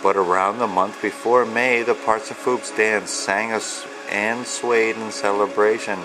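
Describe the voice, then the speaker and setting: Low-voiced man reading slowly. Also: steady tone, music in the background, rough recording. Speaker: author and illustrator reading own children's book. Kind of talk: read-aloud storytelling of rhyming children's book.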